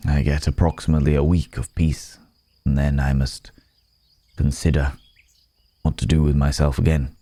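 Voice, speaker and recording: A man's voice: very deep voice, little pitch movement, normal speed, mellow, no echo. A man playing a weary, courteous cursed werewolf in an ASMR roleplay, speaking in formal, old-fashioned phrases with pensive hesitation.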